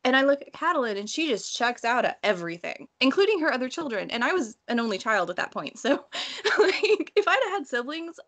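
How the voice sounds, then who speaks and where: A woman talking casually and bluntly in an informal book discussion, telling stories in an animated way. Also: sits high, a little fast. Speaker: book read-along panelist. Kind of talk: casual discussion, personal anecdotes.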